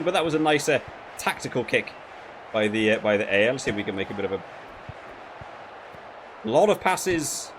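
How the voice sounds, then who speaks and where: A man's voice: lowish voice, background noise. A man giving casual gameplay commentary as a video creator, rambling and thinking aloud as he goes. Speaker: gaming video creator reviewing a rugby game.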